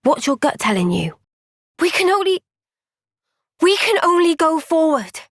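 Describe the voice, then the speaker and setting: A woman with a British accent voicing an earnest, gentle, thoughtful young woman soldier in a video game cutscene. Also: extremely high pitch, very animated, unhurried, dry recording, clean studio sound, exaggerated voice. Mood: concerned.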